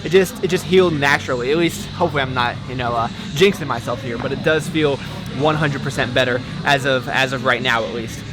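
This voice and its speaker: A man's voice: medium pitch, brisk, over music, low-quality recording. A young man talking casually to his vlog audience, upbeat and smiley, rambling with frequent restarts as he gives his opinions. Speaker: fitness and cooking vlogger.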